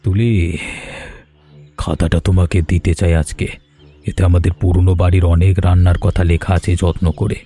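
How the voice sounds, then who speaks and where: A man doing a gentle old village gentleman. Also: low voice, level tone, normal speed, natural voice. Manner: gentle, sentimental, fatherly. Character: older village gentleman, kind and generous. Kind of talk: cartoon voice acting.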